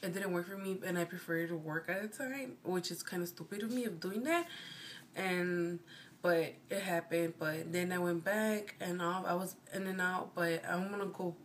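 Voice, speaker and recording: A woman's voice: fairly low pitch, moderate pace, a little hiss or hum. A woman in her twenties from Chicago with a Mexican American accent, chatting casually to camera in a rambling, meandering way and often correcting herself. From Chicago, United States. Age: 20s. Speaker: vlogger.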